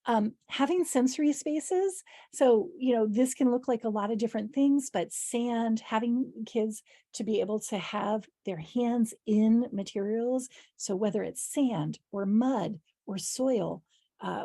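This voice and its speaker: A woman with a hoarse, husky voice giving an informal instructional presentation as an educator, explaining in a conversational way with practical examples. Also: mid-range voice, warm tone, no room sound, studio quality. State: hoarse voice, clearing her throat. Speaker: early childhood educator leading a training.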